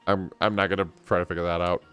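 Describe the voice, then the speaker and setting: A man's voice: low voice, level tone, fast, fairly bright tone, smooth, soft background music. A man casually streaming a game and reading its dialogue aloud.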